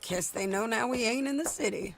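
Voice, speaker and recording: A woman's voice: on the high side, a little fast, gravelly, slight background noise. An actress playing a tough, vengeful Old West outlaw woman in a video game, with an American accent and blunt, folksy speech. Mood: guarded, friendly.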